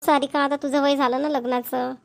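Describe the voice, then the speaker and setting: A woman's voice: high voice, level tone, brisk, gravelly. A woman voicing a caring, persuasive middle-aged village mother, with a coaxing, motherly manner.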